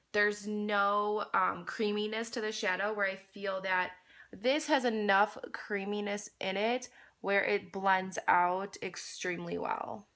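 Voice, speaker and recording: A young woman talks her viewers through a makeup look in easy, chatty tones, calling them "you guys." She is fighting a cold, so her voice comes out slightly nasal and congested. Even so, her tone stays bright and crisp at a middling pitch. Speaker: beauty vlogger. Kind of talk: casual makeup tutorial chat.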